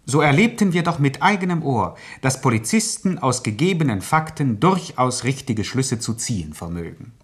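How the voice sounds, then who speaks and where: Pompous, slightly husky male commentator. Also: medium pitch, very animated. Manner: pompous, deliberate phrasing. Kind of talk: scripted, ironically formal radio commentary.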